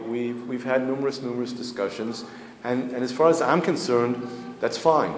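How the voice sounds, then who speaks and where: A man, a community spokesman answering journalists' questions in a measured, matter-of-fact way, pausing to search for words. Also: medium pitch. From United States. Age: 50s. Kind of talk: press interview answers. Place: indoor hall. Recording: echoey hall, faint ambient noise.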